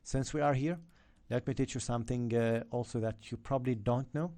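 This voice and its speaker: A man's voice: medium pitch, a little fast. A man whose first language is Arabic, teaching a live-stream trading lesson with lots of energy and checking in with viewers as he goes. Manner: energetic, repeats "okay?" and "yeah?" to viewers. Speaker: trading coach.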